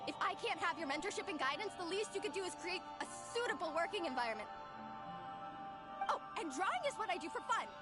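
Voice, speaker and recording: A woman's voice: very high-pitched, sing-song, fast talker. An actress playing an overworked young woman artist, exasperated and ranting at her boss. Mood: angry. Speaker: overworked employee. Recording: loud game music behind.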